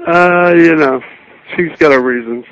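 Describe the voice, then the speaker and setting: A man's voice: fairly high pitch, measured pace, fairly bright tone, no echo. A man chatting casually on the phone in dull lo-fi sound, giving dry, laconic answers as he plays along. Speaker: person answering a prank call. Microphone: telephone handset.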